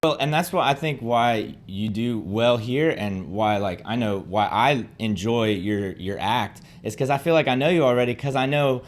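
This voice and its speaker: Rambling young podcast host. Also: male voice, medium pitch, moderate pace, few pauses. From Southern US. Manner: rambling, enthusiastic with many fillers. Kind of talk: casual interview chat.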